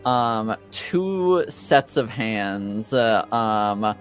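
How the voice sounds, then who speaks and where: A man narrating a tabletop game as game master, improvising in a halting way. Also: on the low side, expressive, soft background music.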